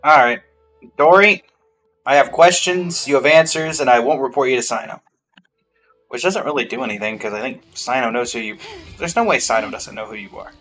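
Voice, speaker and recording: A woman's voice: rumbling low, fairly quick, a bit bright, faint music behind, rough recording. A woman streaming a game reaction, reading along and quipping in a casual, playful, offhand way.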